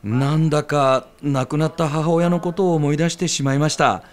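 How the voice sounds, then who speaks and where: A man's voice: fairly high pitch, steady tone, moderate pace, natural voice. A man playing an appreciative regular at a ramen stall in a radio skit, speaking politely and earnestly. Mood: moved, reflective.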